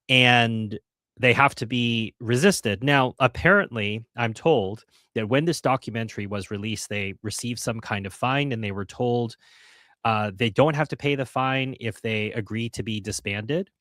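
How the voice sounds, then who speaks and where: A man's voice: mid-range voice, fairly bright tone, dry recording. A man hosting a casual livestream, reading out chat comments, earnest with restarts and wry asides. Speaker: host of a livestream.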